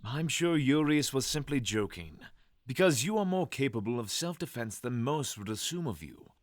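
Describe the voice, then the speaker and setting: A man's voice: medium pitch, expressive, moderate pace, dry recording, studio quality, close to an everyday voice. A man playing a sensible half-dragon companion in an audio drama, speaking formally, precisely and reassuringly.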